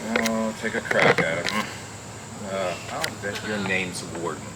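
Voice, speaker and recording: An actor playing a world-weary, wisecracking private detective with a flippant, hard-boiled manner in an old-time radio drama. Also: male voice, deep voice, a little slow. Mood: groggy, sardonic. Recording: noisy, hissy radio play recording.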